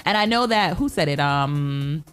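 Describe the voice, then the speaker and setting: A woman's voice: low-pitched, lively intonation, measured pace, fairly bright tone, smooth. A woman co-hosting a casual live talk show, speaking emphatically and with firm opinions. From Guyana or of Guyanese family. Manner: emphatic, opinionated, repeats points firmly. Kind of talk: casual debate with co-host and live commenters. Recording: music playing behind the talk.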